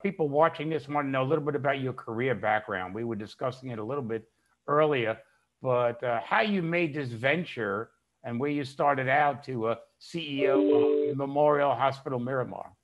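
An older man hosting an interview over a video call, asking measured questions as a publisher. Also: medium pitch. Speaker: interviewer, trade news publisher. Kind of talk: scripted introduction and interview questions. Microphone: wired earbuds headset. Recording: slightly dull video call audio.

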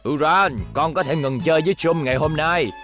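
A man's voice: on the high side, brisk, fairly bright tone, soft background music, close to an everyday voice. A man voicing adult characters in a cartoon dub, emphatic and theatrical. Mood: firm. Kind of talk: dramatic cartoon dubbing.

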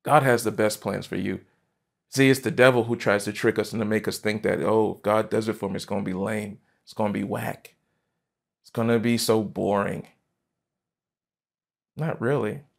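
A man in his thirties, a pastor preaching a sermon from a church stage, fervent and emphatic, jumping from loud bursts to quiet asides. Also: fairly low pitch, steady tone, normal speed, gravelly, pauses often. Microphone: headset mic.

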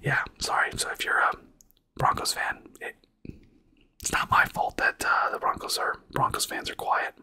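A man's voice: normal speed, sharp tone. Whispering man doing a casual ASMR stream. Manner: casual whispering, answers viewer questions. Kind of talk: whispered ASMR livestream chat.

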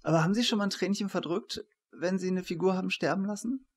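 A man's voice: sits high, fast talker, breathy edge. A man in his forties co-hosting a literary interview, putting questions in a conversational style. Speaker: interviewer, co-host. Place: attic room, indoors. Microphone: shared desktop microphone on a video call.

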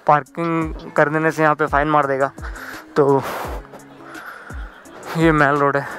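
A young man casually guiding viewers through a busy night market, chatting and pointing out directions as he goes. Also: fairly high pitch. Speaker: travel vlogger. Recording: background music over loud crowd. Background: crowd noise with music.

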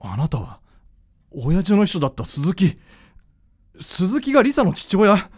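An actor playing an earnest, intense young doctor, a devoted son, in a radio drama. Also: male voice, sits high, sing-song, unhurried, slightly rough, full character voice. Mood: shocked, angry. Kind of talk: Japanese radio drama acting.

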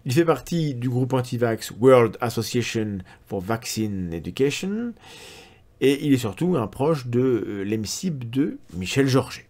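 A man's voice: medium pitch, lively intonation, measured pace, a little mellow. A man in his forties, a science skeptic host, reading a critical analysis to camera with sardonic, ironic asides. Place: indoor room at a desk.

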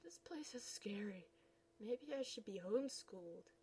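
A woman's voice: medium pitch, lively intonation, measured pace, warm tone, a little hiss or hum. A young woman reading her own comic aloud, playfully switching voices between the characters. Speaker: comic reader.

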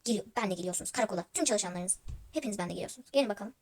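A woman's voice: mid-range voice, rapid-fire, darkish tone, voice pushed down, natural voice. A woman voicing a stern, scolding male police officer in a toy-world roleplay story. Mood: stern, angry. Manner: scolding, commanding, interrogating.

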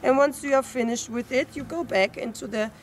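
A woman in her thirties from Austria, speaking with an Austrian accent and halting phrasing as she presents an informal product review outdoors. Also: high-pitched, a little hiss or hum. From Austria, Salzburg region. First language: German. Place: outdoors in an open snowy paddock.

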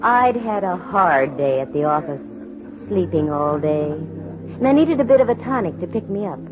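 A woman's voice: fairly low pitch, noisy background, close to an everyday voice. An actress playing a sassy female private eye with dry, sardonic wisecracks, in 1940s radio drama style. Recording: muffled vintage 1940s radio recording.

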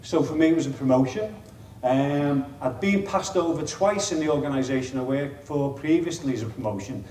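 A man's voice: fairly high pitch, brisk, darkish tone, reverberant, distant mic, a little hiss or hum. Chatty middle-aged man with a Liverpool accent. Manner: chatty, self-deprecating, wry asides. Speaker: chief executive giving a conference talk. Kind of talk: informal presentation to an audience. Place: meeting room with an audience. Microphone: lapel mic.

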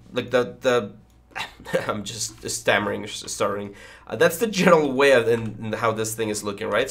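A man in his thirties talks through a 3D modelling tutorial in an easy, chatty way, slightly congested and full of fillers and restarts. His voice sits at a middle pitch for a man. He is recorded close on a headset mic in a small home studio. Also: a bit bright. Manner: rambling, frequent fillers and stammering. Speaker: 3D modelling instructor. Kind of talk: casual screen-recorded tutorial. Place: small home studio room with foam panels. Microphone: headset with boom mic.

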